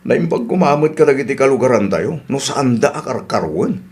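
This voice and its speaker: A man's voice: medium pitch, lively intonation, normal speed, darkish tone, natural voice. A man with an Ilocano accent playing an earnest, aggrieved older businessman in a radio drama, always questioning.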